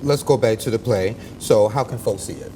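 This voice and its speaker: A man's voice: fairly low pitch, normal speed, mellow, slight background noise, poor audio. A man, interviewing informally and with banter on a casual morning show.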